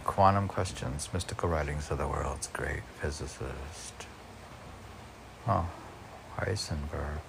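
A man's voice: sits low, gravelly, slight background noise, low-quality recording. A man reading aloud and commenting informally, musing in a rambling way as if to himself. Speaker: podcast host reading and commenting on poems.

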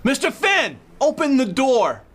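An actor playing a jaded, authoritative male city detective in an audio drama, brisk and brusque. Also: extremely high pitch, wildly swooping pitch, a bit bright, heavily acted. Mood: demanding.

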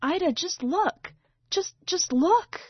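Woman doing an earnest kid pleading to be believed, pitched up, urgent, bit muffled. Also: animated, slow pace, no room sound, heavily acted. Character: earnest, insistent young girl pleading to be believed. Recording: slightly dull recording.

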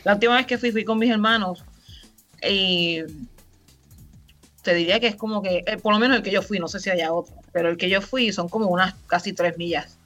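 Puerto rican woman in her 30s, bright voice, chatty, bit muffled w faint music. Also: medium pitch, brisk. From Puerto Rico. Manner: chatty and relaxed, rambling with fillers. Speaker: guest. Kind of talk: casual podcast interview.

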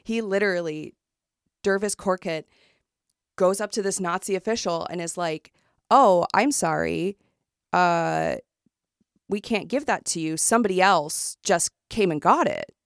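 A woman co-hosts a casual book-club podcast, animated as she retells a true story, full of "um"s, "like"s and restarts. Her voice sits on the lower side, and the recording is dry and close. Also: unhurried, vocal fry, smooth, no room sound. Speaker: podcast co-host.

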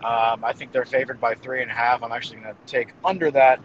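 A man with a medium-pitched voice talks through football predictions as a guest analyst. He is casual and hesitant, working out his thoughts aloud. The sound is slightly muffled and lo-fi, with faint noise in the background. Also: fairly even intonation, fast. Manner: hesitant, thinking aloud as he goes. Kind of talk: casual game-prediction commentary.

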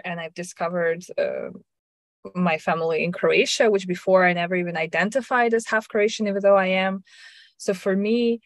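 This is a woman from Russia, speaking in a slightly low voice. She chats in a reflective, thoughtful way, often restarting her sentences. The sound is dry and close. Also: dry recording. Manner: reflective, with frequent restarts and soft laughs. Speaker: guest sharing her story. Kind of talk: casual chat.

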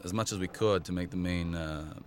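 A man's voice: low voice, fairly quick. A man, the film's narrator, recalling the shoot in a thoughtful, hesitant way. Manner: thoughtful, hesitant, searching for words. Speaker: actor and narrator of the film. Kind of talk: relaxed film commentary. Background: faint film soundtrack music.

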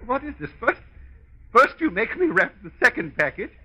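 A man's voice: very high-pitched, sing-song, normal speed, sharp tone, breathy edge, full character voice. An actor playing an easily unhinged male psychiatrist behind a shop counter in an old-time radio comedy. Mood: hysterical.

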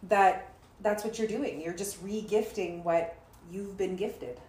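A woman's voice: mid-range voice, unhurried, a little mellow, echoey room. A woman hosting a conversation, telling a reflective story and thanking her guests. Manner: storytelling, reflective, laughs often. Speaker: host. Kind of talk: warm conversational podcast chat.

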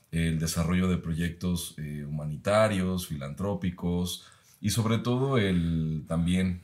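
A man, speaking as a podcast guest in a measured, reflective way with frequent pauses. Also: low voice, darkish tone. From Mexico. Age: 30s. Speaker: guest, university professor.

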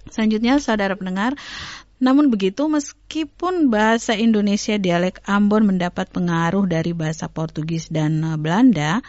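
A woman language researcher speaking as a guest on an educational radio talk, explaining at length with frequent pauses and self-corrections. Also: medium pitch, darkish tone, dry recording. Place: radio studio. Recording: dull lo-fi radio studio.

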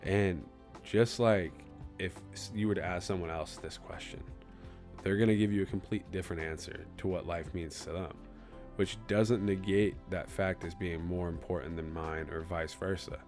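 Young man, low voice, earnest and reflective, solo podcast host, soft music underneath. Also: steady tone, normal speed. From Kansas City, USA. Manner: earnest, reflective, loosely rambling.

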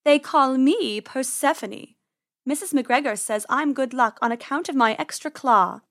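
A woman voicing a sly, haughty female cat in a children's storybook, purring and smug, with drawn-out words. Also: high voice. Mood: smug, menacing.

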